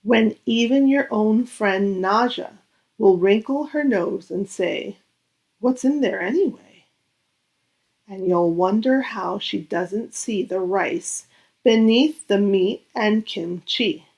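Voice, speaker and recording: A woman reading a children's picture book aloud in a gentle, deliberate, expressive storytelling manner with dramatic pauses. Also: mid-range voice, dull audio.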